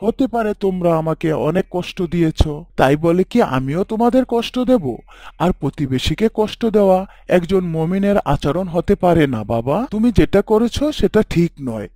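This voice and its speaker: A pious, patient cartoon father, played by a man who speaks. He gives calm moral lessons in a mellow voice that sits unusually high. The sound is dry. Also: normal speed, no echo. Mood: lecturing.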